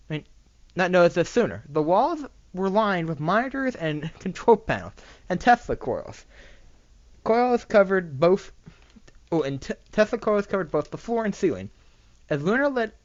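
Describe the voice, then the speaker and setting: A lively amateur storyteller with a lisp reads aloud in a swinging pitch. The delivery is halting, with misread words quickly fixed. It is recorded dry and close on a slightly dull microphone. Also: no room sound. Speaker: amateur reader of fan fiction. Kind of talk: reading a story aloud, with stumbles and asides.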